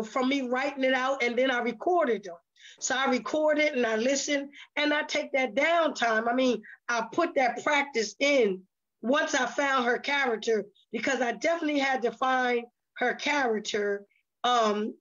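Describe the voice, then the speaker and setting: Higher-pitched woman, dull lo-fi audio. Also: fairly bright tone. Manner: emphatic repetition in lists. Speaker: stage play cast member, interview guest. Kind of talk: casual interview talk.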